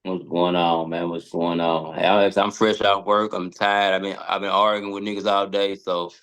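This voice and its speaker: A man's voice: low-pitched, flat delivery, moderate pace, fairly bright tone. A man chatting casually as a podcast guest, emphatic and slangy, often repeating himself.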